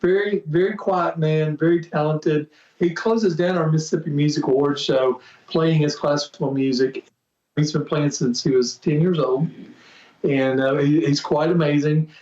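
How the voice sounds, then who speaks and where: A man's voice: a little high, fairly even intonation, moderate pace, a little mellow. A man talking as a guest on a podcast, rambling and enthusiastic and often restarting his sentences. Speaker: podcast guest, music promoter. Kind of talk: casual interview chat. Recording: distant, roomy, muffled remote audio.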